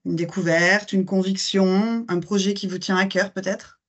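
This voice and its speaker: A woman's voice: mid-range voice, steady tone, normal speed, dull audio. A woman interviewer reading formal, scripted questions and introductions in a polished way.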